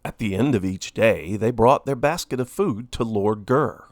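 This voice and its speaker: A man reading a children's story aloud in an expressive way, with deliberate, dramatic emphasis. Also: on the low side, no room sound, studio quality. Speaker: storybook reader, host.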